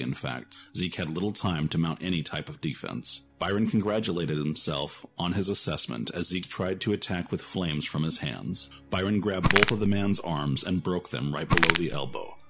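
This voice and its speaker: A man with a deep voice narrates a scripted fantasy tale. He works as the storyteller, and music plays clearly behind him. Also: lively intonation, moderate pace, hardly any pauses, a bit bright.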